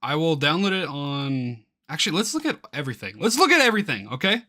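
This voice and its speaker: A man giving casual reaction commentary as he reads aloud from a web page, excitable and quick to exclaim in disbelief. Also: fairly high pitch. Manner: excitable, easily incredulous, exclaiming. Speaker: YouTuber reacting to a game's crowdfunding page.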